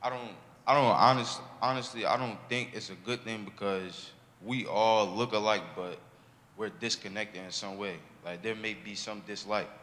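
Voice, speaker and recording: Young guy, real low voice, calm and thoughtful, big hall echo. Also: normal speed. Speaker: discussion participant. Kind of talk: casual group discussion. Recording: large hall reverb, faint noise.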